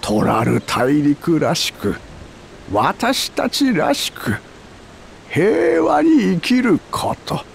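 An actor playing a solemn, peace-loving villager in a fantasy game, speaking with creaky deliberation. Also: male voice, fairly high pitch, big pitch swings, extremely slow, a little hiss or hum, exaggerated voice. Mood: solemn plea.

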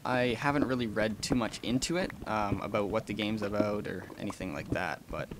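A man's voice: lowish voice, brisk, background noise. A young man casually chatting and rambling through a let's play, with frequent ums and small laughs. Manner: rambling and chatty, many ums, gasps and laughs while playing. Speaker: gaming channel host.

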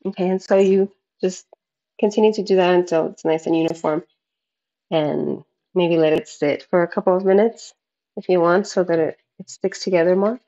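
A woman's voice: lowish voice, fairly even intonation, normal speed, dark tone, highs cut off. A woman in her thirties casually explaining a recipe in an informal way, recorded in a home kitchen. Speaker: home cooking vlogger.

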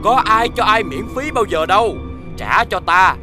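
An actor playing a menacing old monster in a children's cartoon, with a theatrical, drawn-out, taunting delivery. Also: mid-range voice, lively intonation, natural voice. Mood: menacing, gloating. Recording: loud music under the voice.

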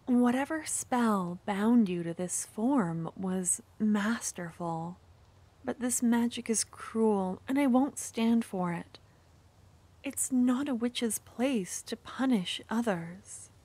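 A woman playing a shy, kind-hearted young witch in an ASMR fantasy romance roleplay, speaking softly and hesitantly, often close to a whisper. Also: fairly high pitch, expressive, takes it slowly, full character voice. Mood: gentle, determined. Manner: soft, breathy, hesitant, often dropping to a whisper. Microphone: close ASMR microphone.

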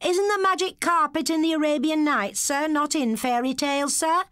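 A woman's voice: high voice, moderate pace, a bit bright, no room sound, clean studio sound, voice pushed up. An English woman voicing a cheeky schoolboy joker in a storybook, pert and mock-polite.

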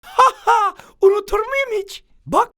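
Squeaky, bright excitable cartoon kid. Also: female voice, measured pace. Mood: eager, excited. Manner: exclaiming, eager. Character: excitable naive little cartoon child, eager and friendly. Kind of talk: children's cartoon voice acting.

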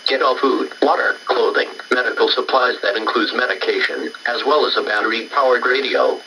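Bright, noisy male emergency alert announcer. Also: medium pitch, fairly quick. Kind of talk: scripted emergency broadcast announcement. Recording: noisy, distant broadcast-style recording.

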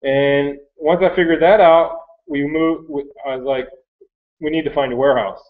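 A man's voice: fairly high pitch, normal speed. A man in his thirties with an American accent, telling his story in a rambling, casual, conversational way. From Michigan, United States. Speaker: online reseller sharing his story. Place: small cluttered workroom indoors. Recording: thin phone-like webcam audio.

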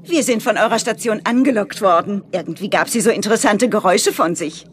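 Woman as a friendly mid-pitched sea creature. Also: fairly quick, slight background noise, lightly acted. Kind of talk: children's cartoon audio drama acting.

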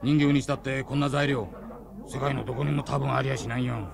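An actor playing a brisk male police detective running an investigation in a radio drama, with a gravelly voice. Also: medium pitch, steady tone, a crowd in the background. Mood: brisk, puzzled.

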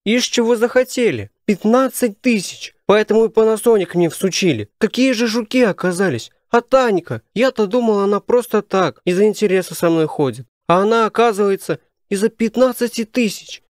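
A man's voice: high-pitched, normal speed, hardly any pauses, no echo, studio quality, voice pushed up, close to an everyday voice. A man voicing a sulky, indignant young lad in a satirical audiobook. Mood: indignant, bitter.

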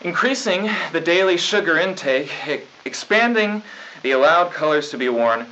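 A young man playing an earnest, self-important high school student president, reading stiff, formal mock news at a desk. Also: animated, moderate pace, bright, forceful tone, a little hiss or hum. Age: young adult. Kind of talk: scripted mock 1990s school news broadcast. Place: small studio news desk. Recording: dull lo-fi 1990s videotape.

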